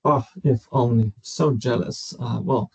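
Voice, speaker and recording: Guy hosting a video call, husky voice, friendly and upbeat. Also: medium pitch, steady tone, unhurried, darkish tone, poor audio. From Poland. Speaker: podcast host. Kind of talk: casual video-call chat.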